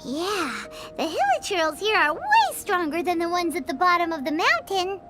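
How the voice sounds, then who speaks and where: Tiny cheeky game sidekick: piercingly high, squeaky child voice, very animated, music behind. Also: female voice, moderate pace. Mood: animated, boastful. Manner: refers to herself in the third person, exclamatory, theatrical. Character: tiny chatty childlike floating companion, female, excitable and cheeky. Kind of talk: video game character dialogue.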